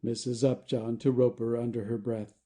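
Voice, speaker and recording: A man's voice: medium pitch, little pitch movement, moderate pace, dark tone. A man reading stage directions as the narrator of a scripted play.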